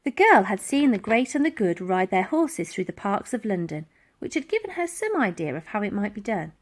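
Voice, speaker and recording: A woman narrating a children's adventure story in an animated storytelling style. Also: mid-range voice, normal speed. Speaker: audiobook reader.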